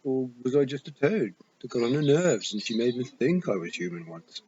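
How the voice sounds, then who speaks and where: A man's voice: medium pitch, moderate pace, voice pushed up, natural voice. A man voicing an anxious talking toad who was once human, musing and fretting as he rambles. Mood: fretful musing. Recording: muffled with steady hiss.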